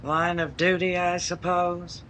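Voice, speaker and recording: A woman plays a plainclothes policewoman with a bright, crisp voice and a dry, wry way of answering. She tosses off her lines with a wisecracking edge, in the style of an old radio play. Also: on the low side, little pitch movement, measured pace, a little hiss or hum. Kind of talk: 1940s radio drama acting.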